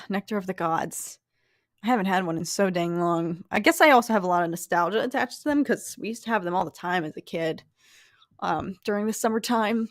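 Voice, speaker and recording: A woman's voice: mid-range voice, dry recording, studio quality. Chatty young woman, light Philly accent. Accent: light Philadelphia. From Philadelphia, USA. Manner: chatty, giggly, lots of "like" and restarts. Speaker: live stream host. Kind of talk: casual live stream chat reading comments.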